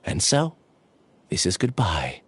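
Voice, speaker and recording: A man's voice: sits low, big pitch swings, slow, a bit bright, a little hiss or hum, heavily acted. A man voicing a resigned, earnest young researcher in a video game, speaking in a solemn, confessional way. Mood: resigned, confessing. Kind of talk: scripted video game acting.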